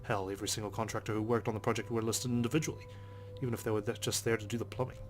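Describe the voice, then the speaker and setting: A low-voiced man reads visual novel narration aloud for a let's play. He moves along quickly with little rise or fall in his tone, while game music plays behind him. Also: level tone, slight background noise.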